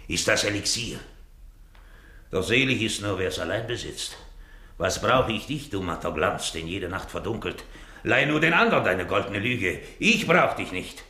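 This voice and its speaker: A man's voice: on the low side, big pitch swings, normal speed, bright, forceful tone. An actor playing a gloating, miserly Venetian schemer in a German radio drama, speaking theatrically and savouring his words. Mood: rapturous. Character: gloating, miserly rich Venetian schemer who feigns dying.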